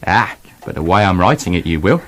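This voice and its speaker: An actor playing a flippant, bossy storybook writer in a radio play, breezy, dismissive and colloquial. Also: male voice, low voice, big pitch swings, a little fast, fairly bright tone, slight background noise.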